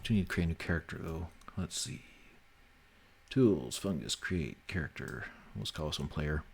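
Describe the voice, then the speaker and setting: Male streamer, low voice, informal and sweary, chatting casually while he works. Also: expressive, moderate pace, creaky, slight background noise. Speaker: livestream host and game developer. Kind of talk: casual livestream chat, thinking aloud while working.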